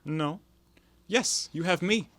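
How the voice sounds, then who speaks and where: Actor as bitter, sly, bright-voiced male ex-convict. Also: a little high, dry recording. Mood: menacing, insistent. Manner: theatrical, insinuating, deliberate. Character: bitter, sly ex-convict forger, resentful and wheedling. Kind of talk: audio play acting.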